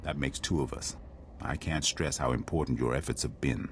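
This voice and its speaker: Very deep-voiced military commander. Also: male voice, moderate pace, slight background noise. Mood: approving. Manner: blunt, wry. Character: wry, world-weary male military commander. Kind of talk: video game cutscene acting.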